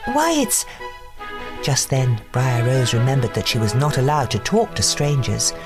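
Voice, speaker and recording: A woman narrating a scripted children's bedtime story in an expressive storytelling manner. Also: very deep voice, normal speed, over music.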